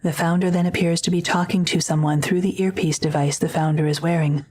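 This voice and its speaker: A woman narrates an audio drama from a script. Her voice sits very low for a woman and has a husky, breathy texture. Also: fairly even intonation, brisk, a little mellow.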